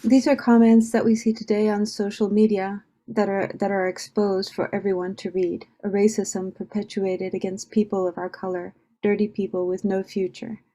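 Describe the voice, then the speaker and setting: A woman's voice: mid-range voice, flat delivery, moderate pace, hardly any pauses, warm tone. A woman reading a report aloud as part of a group reading over a video call.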